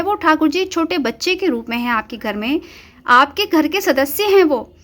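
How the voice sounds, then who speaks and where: A woman hosting her own devotional channel, talking earnestly to viewers from her home shrine, a little hoarse from a cold and recorded on a phone. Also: high voice. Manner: earnest, questioning, speaks directly to viewers. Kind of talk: casual devotional talk to viewers.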